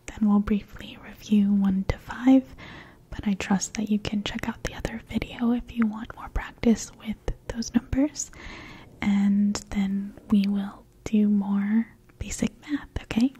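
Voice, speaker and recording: A woman whispering a gentle, patient language lesson in ASMR style, her first languages Mandarin and Cantonese. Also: medium pitch, fairly even intonation, unhurried, slight background noise. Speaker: language teacher.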